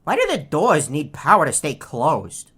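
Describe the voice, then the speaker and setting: An actor playing a snarky, self-aware video game character who taunts the player with sarcastic, condescending, deadpan lines. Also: male voice, on the high side, measured pace, husky, heavily acted. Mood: bored sarcasm.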